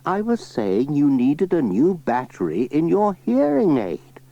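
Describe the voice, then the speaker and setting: A man's voice: fairly high pitch, huge pitch swings, a little slow, mellow, pitched above the performer's own voice, heavily acted. A man voicing a friendly, patient, helpful village postman in a children's storybook. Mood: cheerful, patient. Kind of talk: children's storybook cassette reading. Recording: slightly dull cassette, faint music.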